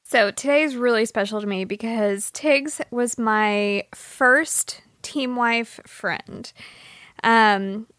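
A woman's voice: fairly high pitch, takes it slowly, a bit bright, no room sound, studio quality. A woman co-hosting a casual podcast interview, speaking in a heartfelt, slightly teary way. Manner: heartfelt, emotional, a little teary.

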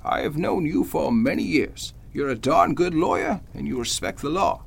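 An older man playing a gentlemanly, earnest veteran judge with a gravelly, husky voice in an old-time radio drama. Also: on the high side, lively intonation, normal speed, exaggerated voice. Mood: earnest, firm. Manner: earnest, old-fashioned formal speech. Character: genial but suspicious veteran male judge, gentlemanly.

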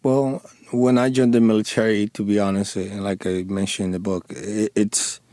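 Low flat-voiced man, thick Spanish accent. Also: no room sound, clean studio sound. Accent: thick Dominican Spanish accent. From Dominican Republic. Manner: halting, frequent restarts and fillers, earnest. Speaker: interview guest, former police sergeant. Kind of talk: radio interview.

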